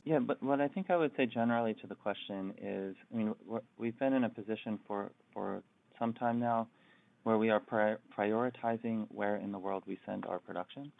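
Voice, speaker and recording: Gravelly male executive on phone line. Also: on the low side, a little fast. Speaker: company finance executive. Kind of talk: unscripted earnings call answer. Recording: thin phone-line conference call audio.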